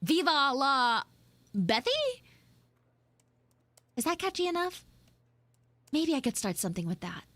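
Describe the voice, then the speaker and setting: A high, swooping anime schoolgirl played by a woman, bratty and dramatic in true tsundere fashion. She takes her time, whining and sulking theatrically as she chats to listeners in a live roleplay. The sound is close and dry. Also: sing-song, unhurried, long pauses, fairly bright tone, no room sound, heavily acted. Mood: rebellious, dramatic. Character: bratty, dramatic tsundere two-dimensional anime girl, student. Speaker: streamer, voice actor. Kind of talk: live improvised audio roleplay, chatting with listeners.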